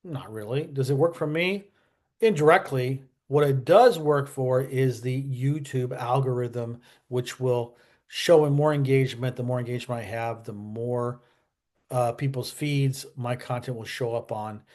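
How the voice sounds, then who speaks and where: A man's voice: mid-range voice, measured pace, a little mellow. A man giving casual history commentary as a vlog host, colloquial and opinionated, often wandering into asides.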